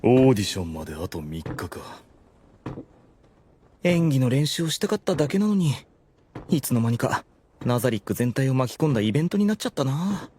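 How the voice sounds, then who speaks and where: A man's voice: mid-range voice, big pitch swings, a little fast, pauses often, mellow, slight background noise, natural voice. A man playing a male ruler in an anime audio drama, formal and lordly but privately awkward and exasperated. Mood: musing, weary. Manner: formal authority slipping into casual muttering. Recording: music behind the voice.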